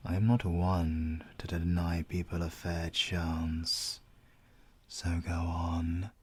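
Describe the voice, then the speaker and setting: A British man playing a menacing supernatural interrogator in an ASMR audio roleplay, coldly polite and deliberate, with a breathy, gravelly, often whispered voice. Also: sits low, monotone, exaggerated voice. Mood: taunting. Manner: slow, deliberate, mockingly courteous. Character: menacing supernatural male villain, clawed soul-taking interrogator, coldly polite.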